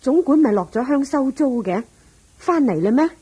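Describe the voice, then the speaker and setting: An actress playing the devoted, flattering wife of a rich old master in a Cantonese radio drama, solicitous and deferential. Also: female voice, sits high, moderate pace, breathy edge, lo-fi sound, heavily acted. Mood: curious.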